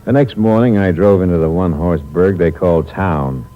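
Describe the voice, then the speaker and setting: An actor as a deep-voiced, hard-boiled impostor. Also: male voice, normal speed, faint music behind, close to an everyday voice. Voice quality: terse, clipped manner. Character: cold-blooded impostor and narrator, hard-boiled. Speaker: narrator and lead. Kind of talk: 1950s hard-boiled radio drama narration. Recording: muffled old radio recording with hiss.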